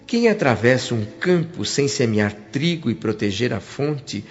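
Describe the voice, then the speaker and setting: A man's voice: mid-range voice, long reverb tail, natural voice. A Brazilian man acting an earnest, remorseful spirit who tells his afterlife story in a reflective, literary way for a radio drama. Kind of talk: radio drama narration and dialogue. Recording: muffled audio with music behind. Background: background music.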